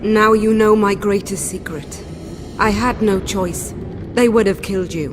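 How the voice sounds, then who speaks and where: An actress playing a proud young female rebel commander in a fantasy game, speaking in an earnest, commanding, measured way. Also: medium pitch, steady tone, noisy background, lightly acted. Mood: earnest confiding. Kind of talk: dramatic fantasy game acting. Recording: loud background music under dialogue.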